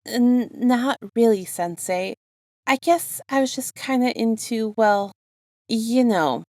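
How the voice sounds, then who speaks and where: A woman voicing a nervous, excitable teenage boy student who stammers and rambles as she reads a story aloud. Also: on the high side, takes it slowly, no echo, studio quality, voice pushed up. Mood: awkward, nervous.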